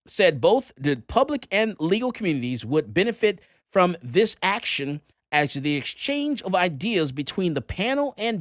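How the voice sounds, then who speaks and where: A middle-aged man hosts a talk show and comments on the news. His pitch swings widely, and he drives his points home by repeating them. The sound is close and dry. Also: no echo. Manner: animated, emphatic, repeats points.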